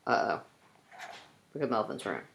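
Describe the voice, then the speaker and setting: A woman reading a children's picture book aloud, pausing to ask young listeners questions. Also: a bass voice, very animated, vocal fry, a little hiss or hum. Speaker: storyteller, teacher.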